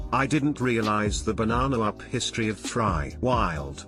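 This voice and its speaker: A man's voice: medium pitch, fairly bright tone. A man steadily reading online stories aloud, with light word-swaps for swearing. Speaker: narrator reading online posts. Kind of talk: scripted story narration. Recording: faint music behind the voice.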